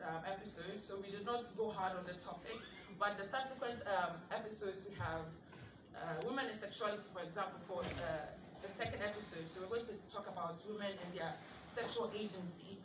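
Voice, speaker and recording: A woman from Ghana in her thirties, a TV show host giving an animated speech to an audience, with rhetorical questions and laughing asides. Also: medium pitch. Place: large indoor event space. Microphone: handheld mic, recorded from far in the audience. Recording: distant echoey room recording with ambient noise. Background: crowd ambience.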